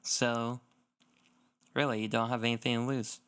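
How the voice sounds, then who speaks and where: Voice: mid-range voice, flat delivery, moderate pace, fairly bright tone, smooth. A young adult co-hosting a gaming podcast, chatting casually and enthusiastically in fan slang. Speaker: podcast co-host.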